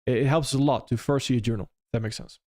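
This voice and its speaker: Young non-native English-speaking man, live-stream host answering chat, loose and rambling, mid-pitched voice. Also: fairly even intonation, fast talker, warm tone. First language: not English. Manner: loose and conversational, frequent restarts and "if that makes sense".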